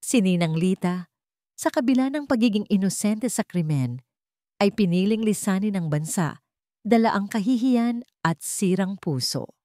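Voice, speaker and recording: A woman's voice: medium pitch, expressive, moderate pace, dark tone, no echo, studio quality. A woman reading a scripted dramatic love story as narrator.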